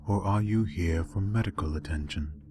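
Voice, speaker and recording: A man plays a sinister, pompous doctor from a horror audio story. The voice is very deep yet soft, with mannered politeness. Music is clearly audible behind it. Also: normal speed. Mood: smug, polite.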